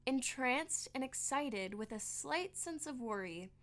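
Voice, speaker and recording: Female narrator, higher pitch, rhyming storybook verse, theatrical delivery, expressive storytelling. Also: unhurried.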